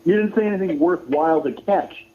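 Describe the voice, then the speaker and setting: A man's voice: fairly high pitch, brisk. A man arguing on a live phone-in, combative and insulting, insistently repeating himself. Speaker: caller to a livestream show. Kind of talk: argumentative live phone-in banter. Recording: tinny phone-like livestream call.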